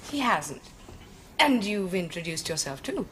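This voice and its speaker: An actress playing a cool, haughty young upper-class English lady who speaks in a crisp, cutting, condescending way in a 1970s television period drama. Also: fairly low pitch, very animated, unhurried, noisy background. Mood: brisk.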